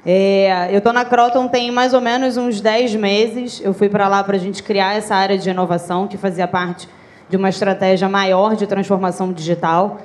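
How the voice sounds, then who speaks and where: Female panelist, Carioca accent, flat intonation, friendly. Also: mid-range voice, moderate pace. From Rio de Janeiro, Brazil. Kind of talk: conference panel introduction.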